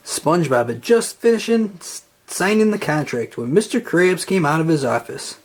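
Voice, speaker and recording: A storybook reader narrating a children's tale in an expressive, scripted style. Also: mid-range voice, moderate pace, husky.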